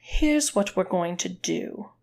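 Sneering, gravelly dominatrix, acted out by a woman. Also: on the low side, animated, slow, darkish tone, full character voice. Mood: conspiratorial, menacing. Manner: sneering, commanding, taunting.

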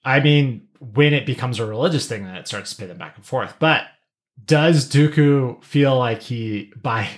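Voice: medium pitch, moderate pace. A podcast co-host in a casual pop-culture discussion, explaining things and slipping into joking asides.

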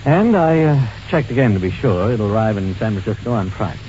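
An actor playing a calculating, self-assured art dealer turned diamond smuggler in a 1940s radio drama. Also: male voice, on the low side, expressive, brisk, warm tone, lightly acted. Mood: smugly confident. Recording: muffled, faintly noisy old radio recording.

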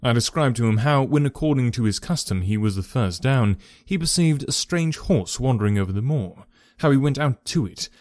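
A composed male detective, voiced by a man reading the story, smooth and quietly commanding. His voice sits at a middle pitch. The sound is dry and close. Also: no echo, natural voice. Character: calm, sharp-minded male detective.

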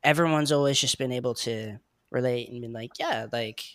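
A man in his twenties hosting a podcast, chatty and quick to laugh at himself. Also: medium pitch, a bit bright. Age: 20s. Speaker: podcast host. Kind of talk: casual chat.

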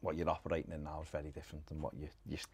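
A woman hosting a podcast interview, encouraging her guest with quick reactions and laughter. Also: a bass voice, little pitch movement, husky. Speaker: podcast host. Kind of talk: casual interview chat.

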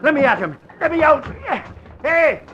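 Irish male newspaperman, very high, sharp voice, excitable bluster, old radio drama with dulled sound. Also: moderate pace, full character voice. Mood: alarmed, urgent. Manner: blustering, exclamatory. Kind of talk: 1940s radio acting. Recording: dull old radio broadcast.

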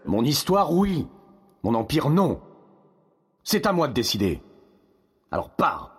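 An actor playing an aging Roman ruler, proud, weary and commanding, in a French audio drama. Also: male voice, mid-range voice, sing-song, takes it slowly, exaggerated voice. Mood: angry, imperious. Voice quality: husky, rough. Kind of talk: French audio fiction acting.

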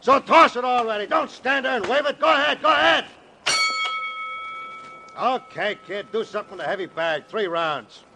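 An actor in an old-time radio drama playing a loud, impatient boxing manager barking curt orders. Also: male voice, very high voice, lively intonation, normal speed. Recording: noisy vintage radio broadcast recording.

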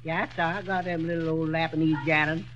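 An actor playing a slow, easygoing male handyman who talks in a drawling put-on dialect and mangles his words, on a dull old radio broadcast. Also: a little high, level tone, natural voice.

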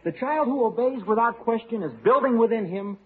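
Eager, high-voiced young husband. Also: male voice, a little fast, a little hiss or hum. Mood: earnest, enthusiastic. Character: eager, earnest young husband and new father. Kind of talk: 1940s-50s radio sitcom acting. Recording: tinny, muffled old radio recording.

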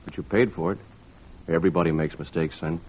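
A man's voice: sits low, steady tone, fast, darkish tone, slightly rough. A man playing a kindly, forgiving, fatherly fisherman in a 1950s radio drama. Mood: warm, forgiving. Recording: thin, muffled old radio recording.

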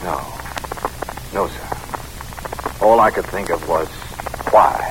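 An actor playing a troubled, proud young captain, stiff and intense, in an old-time radio drama. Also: male voice, low voice, takes it slowly, a bit bright, low-quality recording. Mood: troubled, searching. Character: wounded young Confederate captain, bitter, proud, troubled, earnest. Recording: old radio recording, faint noise.

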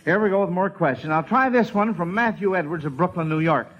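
A man's voice: high-pitched, a little fast, fairly bright tone. A man hosting a 1940s radio quiz show in a folksy, hesitant, rambling way. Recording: dull vintage radio broadcast recording.

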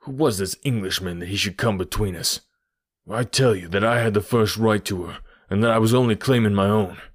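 A proud villain from a detective storybook, acted by a male narrator, with a fairly low voice. He speaks with bitter, forceful defiance and a wounded lover's intensity. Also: fairly quick, slightly rough. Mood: grim defiance. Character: fierce, proud, lovelorn American male criminal.

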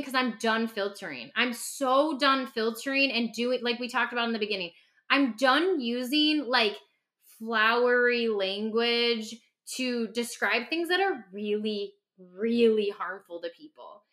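Woman, fairly high voice, bright forceful tone, passionate, candid podcast chat, stresses points by repetition. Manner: emphatic, passionate, repeats phrases for stress. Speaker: podcast host, clinician and author. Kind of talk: candid conversational podcast talk.

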